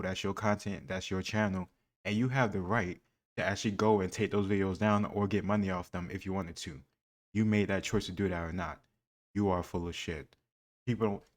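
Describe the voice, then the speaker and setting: A man hosting a reaction channel, talking back bluntly and profanely at a clip. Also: fairly low pitch. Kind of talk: casual reaction commentary.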